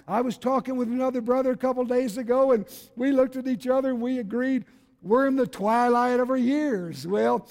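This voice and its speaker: A man of about seventy, preaching a fervent evangelical sermon in an exhorting, emphatic way, repeating phrases for weight. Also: very high voice. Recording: reverberant hall with long echo.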